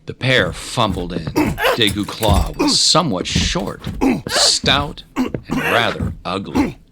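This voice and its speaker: A man's voice: mid-range voice, huge pitch swings, slow, heavy background noise. A man narrating a scripted adventure story in a dramatic style. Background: loud music.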